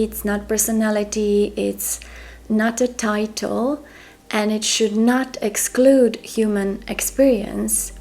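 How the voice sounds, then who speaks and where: A woman from Croatia with a Croatian accent, a spiritual mentor talking earnestly and deliberately to camera. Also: medium pitch, a little mellow. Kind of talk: talking-head monologue to camera.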